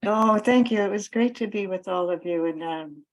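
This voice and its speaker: A woman's voice: mid-range voice, normal speed, rough recording. A woman giving encouraging, appreciative informal remarks as a guest speaker in an online meeting. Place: remote computer call. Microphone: computer video call.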